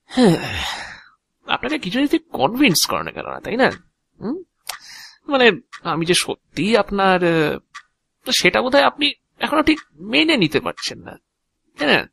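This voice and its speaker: A man acts out a talkative agent of death for an audio drama. The voice is fairly high for a male and swings widely in pitch, with a creaky edge. He is sly and fussy, savouring words theatrically. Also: huge pitch swings, fairly bright tone, heavily acted. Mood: calm, ominous. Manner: theatrical, unhurried, muttering and humming to himself. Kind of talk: Bengali audio drama acting.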